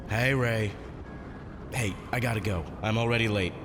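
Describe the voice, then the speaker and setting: An actor in an audio drama playing an easygoing, wry and slightly harried military general. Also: male voice, on the low side, moderate pace, over music, natural voice. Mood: casual, rushed. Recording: echoey long reverb, clearly noisy.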